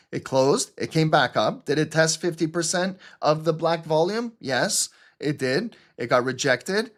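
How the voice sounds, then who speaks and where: A man talks viewers through a live market chart, explaining price levels and candles step by step. His pitch sits in the middle for a man. He keeps checking in with "okay?" and "right?" and often stops on "um" and "uh". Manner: explanatory, repeats "okay?" and "right?", frequent fillers. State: throat clearing, choking on water. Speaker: trading channel host. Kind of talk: live market analysis walkthrough.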